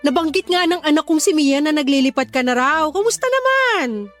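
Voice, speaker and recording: A woman's voice: high voice, lively intonation, fairly quick, a bit bright, faint music behind. An actress voicing a proud, competitive middle-aged mother who bickers defensively in a radio drama. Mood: bickering.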